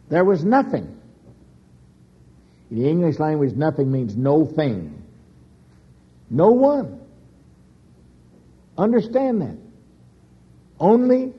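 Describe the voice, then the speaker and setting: A man preaching a sermon slowly and emphatically, with dramatic pauses and sudden rises in pitch. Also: husky. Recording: dull lo-fi recording.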